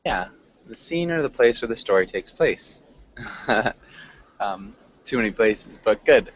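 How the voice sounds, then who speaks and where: A man's voice: fairly low pitch, animated, measured pace, a little hiss or hum. A man teaching an interactive online vocabulary class, encouraging students and prompting them with questions. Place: online group video class. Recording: dull, lo-fi online call audio.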